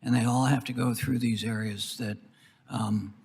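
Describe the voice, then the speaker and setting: An American man speaking as a congressman, questioning witnesses in a halting, circling way with a husky, gravelly voice in a reverberant hearing room. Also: mid-range voice, steady tone, a little mellow. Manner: halting, circling, with broken-off phrases. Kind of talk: rambling committee questioning. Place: large indoor committee hearing room.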